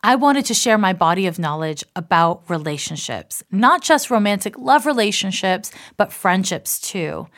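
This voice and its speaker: A middle-aged woman from Canada with an accent between Canadian and American, an astrologer talking through a reflective personal monologue in a chatty, deliberately enunciated way. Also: mid-range voice, expressive, fairly bright tone. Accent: mix of Canadian and American. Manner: chatty, self-described talker. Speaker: astrologer and author.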